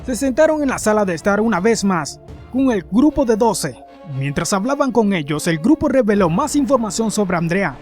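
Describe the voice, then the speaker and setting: A man's voice: sits high, very animated, moderate pace, a little mellow. A man narrating a scripted, dramatic story. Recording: faint music behind. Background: background music.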